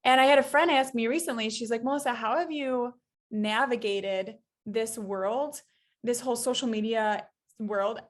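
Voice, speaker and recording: A woman guest on a podcast, speaking enthusiastically and affirming the host. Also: on the high side. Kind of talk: casual podcast conversation.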